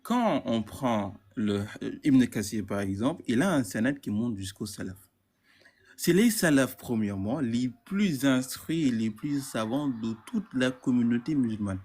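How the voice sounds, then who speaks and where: A man's voice: medium pitch, lively intonation, unhurried, darkish tone, breathy edge. Stammering, insistent man caller. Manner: stammering, repeats words, insistent. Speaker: caller in a debate. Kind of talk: heated live debate.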